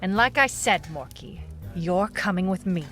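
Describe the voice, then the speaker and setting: A woman's voice: on the low side, lively intonation, normal speed, a bit bright, soft background music. An actress playing a tough, defiant female private eye, narrating a noir audio drama in a cool, clipped manner.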